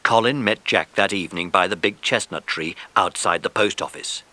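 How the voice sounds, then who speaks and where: A man narrating a children's story in an expressive, dramatic way, as in a scripted audiobook. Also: on the low side, normal speed.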